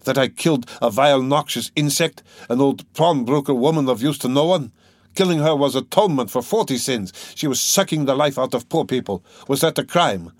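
A man voicing a tormented, exhausted young man, proud and bitter, with a brooding, halting intensity, in an audiobook. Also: medium pitch, a little mellow, dry recording, lightly acted. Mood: bitter fury.

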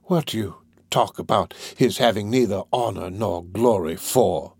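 A man voicing a wily, quick-tempered old gang master in a storybook reading, with a drawn-out, coaxing delivery. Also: fairly low pitch, vocal fry, a little mellow, slightly rough, dry recording, clean studio sound, full character voice. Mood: angry. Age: older.

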